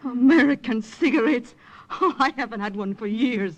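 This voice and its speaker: An actress playing a brave, wary young woman recruit in a 1940s wartime radio drama, with earnest, halting, dramatic delivery. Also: a little high, dull audio, lightly acted. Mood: resolute. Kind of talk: 1940s radio acting and first-person narration. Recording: old radio drama with music.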